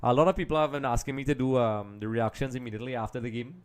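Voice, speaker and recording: A man's voice: medium pitch, steady tone, racing, smooth. A man from Trinidad and Tobago hosts a live football watch-along, reading viewers' comments and analysing the match in a chatty, analytical way, often saying "um" and addressing "folks.". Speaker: livestream host.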